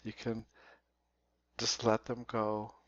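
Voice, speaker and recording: A man leading a guided meditation in a slow, deliberate way, leaving long pauses. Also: on the low side, monotone, a bit bright. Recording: dull, roomy recording.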